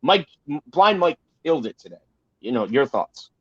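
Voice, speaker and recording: A man's voice: a little high, sing-song, normal speed, slightly rough. A man co-hosting a casual podcast, talking in an emphatic, rambling way and swearing freely. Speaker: podcast co-host. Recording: roomy, slightly dull sound.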